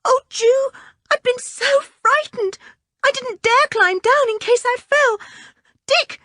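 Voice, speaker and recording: A woman's voice: very high voice, fairly even intonation, slow, sharp tone, no echo, studio quality, pitched above the performer's own voice, heavily acted. A woman doing a tearful terrified little girl. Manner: tearful, wailing.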